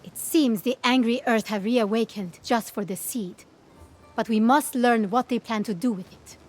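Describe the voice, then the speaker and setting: A woman plays a caring young scholar and ally in a fantasy game. Her voice is fairly high, earnest and expressive, with clearly articulated lines and some music behind her. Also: moderate pace, close to an everyday voice.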